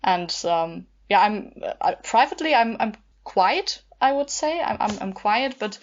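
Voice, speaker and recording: A woman's voice: medium pitch, lively intonation, unhurried, dull audio. A young woman from Germany chatting casually as a podcast guest, searching for her words. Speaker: podcast guest, amateur theater group member. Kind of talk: casual chat.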